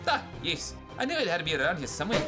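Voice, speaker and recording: An eccentric, gallant scientist , acted out for a radio serial, speaks in a theatrical and wry way. The pitch swoops about in a middle register, with music playing behind. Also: very animated, racing, lightly acted. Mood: celebratory. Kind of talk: old-time radio serial acting.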